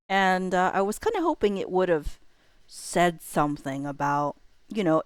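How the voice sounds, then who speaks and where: Low-voiced woman streamer, clean and smooth. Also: no room sound. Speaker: livestream host. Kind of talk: casual livestream chat with viewers.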